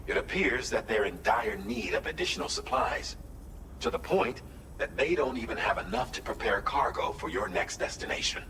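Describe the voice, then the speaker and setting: A man playing a calm, methodical mission coordinator in a video game, giving formal, measured, precise instructions. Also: on the low side, roomy sound, background noise, close to an everyday voice. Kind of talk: scripted game mission briefing.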